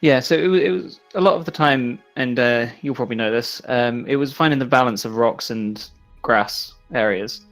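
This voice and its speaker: A man's voice: mid-range voice, moderate pace, few pauses, fairly bright tone. A man with an Irish accent chatting casually on a livestream about his design work. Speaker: landscape designer on a game modding team. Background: faint music.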